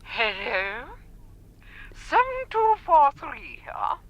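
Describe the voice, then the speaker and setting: An English actress playing a genial, tipsy, scatty housewife in a radio drama, rambling chattily with slurred words over the telephone. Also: female voice, high voice, big pitch swings, slow pace, over a noisy crowd, exaggerated voice. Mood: cheery, tipsy. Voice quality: slurred, drunken delivery. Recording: dull, narrow-band telephone sound.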